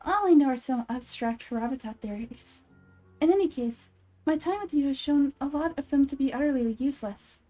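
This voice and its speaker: Woman as a soft, high, thoughtful young girl deity. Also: faint music behind, close to an everyday voice. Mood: pensive. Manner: measured, analytical, polite. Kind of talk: video game character voice acting.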